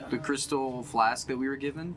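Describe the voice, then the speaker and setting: A man's voice: medium pitch, moderate pace. A man talking casually as a player in a tabletop roleplaying game. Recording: music playing behind. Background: music.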